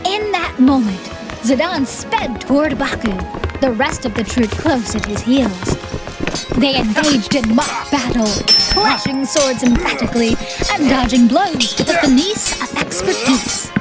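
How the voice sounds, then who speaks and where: A woman's voice: sits high, animated, unhurried. A woman reading scripted, dramatic audiobook narration. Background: music.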